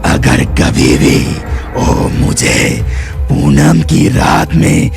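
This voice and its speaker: Vengeful ghost cow for a horror cartoon, super gravelly, talks really slow. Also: male voice, rumbling low, big pitch swings, music in the background, exaggerated voice. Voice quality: gravelly, growling. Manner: slow, menacing, drawn-out. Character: menacing ghost cow, slow vengeful man-eating monster. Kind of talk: cartoon horror story acting.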